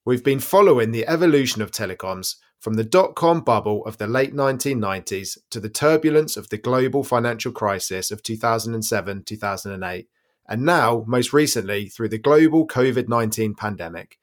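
A man's voice: medium pitch, expressive, a little fast. A man reading quoted remarks from a script in a formal, corporate manner. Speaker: reader of quotes. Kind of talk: scripted read-aloud of a quote.

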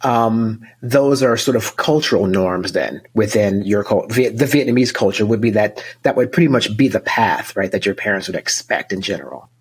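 A man's voice: fairly low pitch, normal speed, few pauses, slightly rough. A man hosting an interview, asking friendly, hesitant questions with fillers. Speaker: interviewer, host. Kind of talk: interview conversation.

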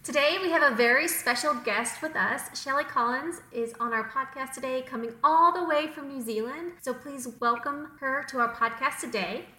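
High bright woman interviewer, roomy sound. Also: moderate pace, hardly any pauses. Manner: enthusiastic, encouraging. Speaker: podcast co-host, interviewer. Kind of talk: interviewing a guest.

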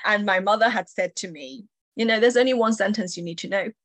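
A woman's voice: medium pitch, a little fast, no echo. Dry-miked woman telling her story. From China. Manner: warm reflective storytelling, light laughs. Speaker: entrepreneur guest. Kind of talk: interview storytelling.

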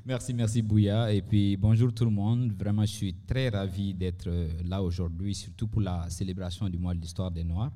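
A man's voice: fairly low pitch, steady tone, moderate pace, hardly any pauses, dark tone. A man, introducing himself in a modest, hesitant way at a live event. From Mali. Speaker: panel guest, medical director. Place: live recording in a university bar. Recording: reverberant room, live event recording.

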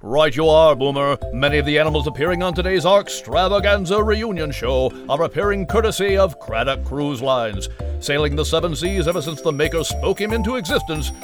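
A man's voice: fairly high pitch, animated, brisk, music in the background, natural voice. A man playing a slick, upbeat commercial announcer in a parody radio advertisement.

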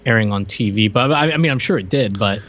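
A man's voice: lowish voice, quick pace, fairly bright tone. A man co-hosting a podcast, chatting casually about a film with joking, wry remarks. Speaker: podcast co-host. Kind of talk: casual movie review banter. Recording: muffled audio with noticeable background noise.

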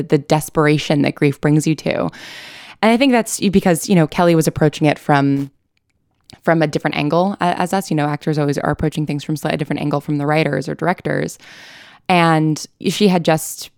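Low, smooth-voiced woman in thoughtful conversation. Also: a little mellow, no echo, clean studio sound. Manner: thoughtful, articulate, explanatory. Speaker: interviewed writer of an audio drama. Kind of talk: reflective interview conversation.

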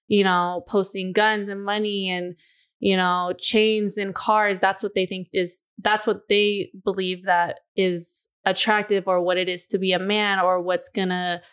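A woman's voice: medium pitch, flat delivery, a bit bright, clear, resonant tone. A woman in her twenties chatting candidly on a podcast, musing aloud with lots of "you know" and "like". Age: 20s. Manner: candid, confessional, drawn-out thoughts with frequent "you know". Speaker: podcast co-host. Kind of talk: casual podcast chat.

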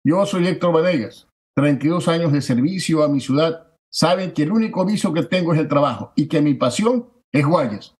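A man, a political candidate giving forceful, declamatory campaign answers that stack repeated phrases for emphasis. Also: a little high, lively intonation, normal speed, few pauses. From Ecuador.

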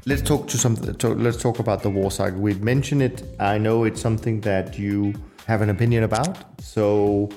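A man hosting a podcast interview, talking hesitantly with many pauses and restarts. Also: on the low side, steady tone, warm tone, faint music behind. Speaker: podcast host. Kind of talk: casual interview.

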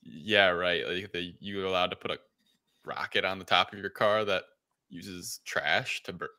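A man's voice: sits low, fairly even intonation, measured pace, pushed and bright. A man co-hosting a podcast, talking casually as he reviews a film. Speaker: podcast co-host.

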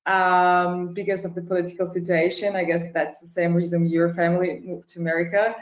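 A woman's voice: lowish voice, level tone, fairly quick. Laughing woman on an echoey video call. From Ukraine, raised in Germany. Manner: laughs often, slightly non-native phrasing. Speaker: actress, interview guest. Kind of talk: casual video-call interview.

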